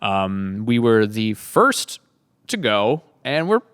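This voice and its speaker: Male host for a relaxed group chat, clean clear voice, dry close sound. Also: fairly low pitch, slow, no echo. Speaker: production lead hosting a behind-the-scenes chat.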